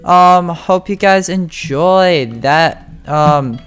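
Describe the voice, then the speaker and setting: Gamer doing a casual tutorial, mid pitched voice, kinda halting and thinking out loud, music playing behind. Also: clean tone. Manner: informal, halting, thinking aloud. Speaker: game guide video host. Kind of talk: casual gameplay tutorial commentary.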